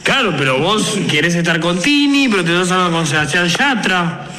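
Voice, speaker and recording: Argentine streamer guy, high bouncy voice, teasing, roomy echo with faint hum. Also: very animated, moderate pace, pushed and bright. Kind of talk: casual stream chat.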